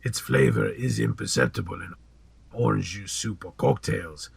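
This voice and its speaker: Gravelly, sinister old potion seller. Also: male voice, fairly low pitch, heavily acted. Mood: seductive persuasion. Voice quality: gravelly, husky, breathy. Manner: insinuating, deliberate, theatrical. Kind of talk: audio drama acting.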